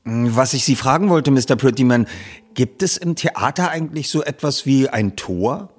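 A man's voice: mid-range voice, lively intonation, normal speed, pitched above the performer's own voice, lightly acted. A man voicing a polite, down-to-earth teenage boy detective in an audiobook. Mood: curious. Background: music under the reading.

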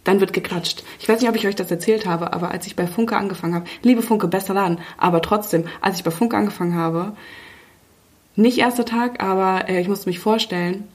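A woman in her mid-twenties from western Germany co-hosts a casual chat. She speaks at a middle pitch in loose, slangy language. She tells anecdotes by slipping into the lines of the people involved. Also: fairly quick. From Germany, Münster in western Germany. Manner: chatty, acts out quoted dialogue, slangy. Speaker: podcast co-host, online editor.

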